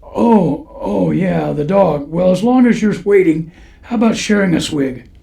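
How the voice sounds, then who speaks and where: Creaky, folksy church sage. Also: male voice, high voice, measured pace, dark tone, full character voice. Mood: friendly, wry. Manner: folksy, knowing, preachy. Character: eccentric male church caretaker, kindly, cryptic, moralizing. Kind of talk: old-time radio western acting.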